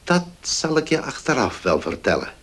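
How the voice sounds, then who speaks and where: An actor in a radio play voicing an elderly uncle who is fond and shrewd, with a husky voice. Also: male voice, medium pitch, very animated, normal speed, fairly bright tone, heavily acted. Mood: fond, confiding. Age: older.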